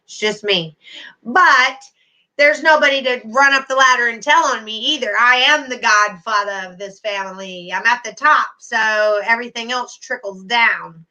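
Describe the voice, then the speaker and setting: A woman's voice: on the high side, normal speed, pushed and bright, rough recording. A middle-aged woman hosting a casual livestream, chatting to viewers by name with endearments. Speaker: YouTube livestream host.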